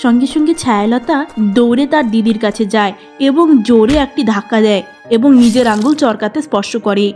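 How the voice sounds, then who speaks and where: A woman's voice: on the high side, a little fast, a little mellow, soft background music. A woman narrator reading a scripted fairy tale for a cartoon.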